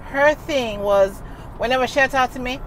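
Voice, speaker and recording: A woman's voice: high voice, moderate pace. A middle-aged woman, talking casually to her phone camera from inside a car, emphatic and repetitive, speaking into a wired earphone mic. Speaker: vlogger. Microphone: wired earphone mic, phone held close. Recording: car and street noise.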